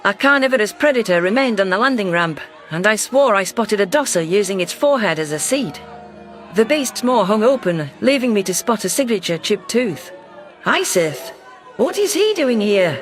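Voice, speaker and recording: A man narrating a science fiction audio story in an even, scripted delivery. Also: sits high, brisk, faint music behind, some chatter behind.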